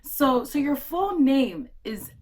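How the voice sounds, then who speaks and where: A woman's voice: high-pitched, very animated, slow, darkish tone, exaggerated voice. A woman playing a teasing, amused character in a 1940s radio play.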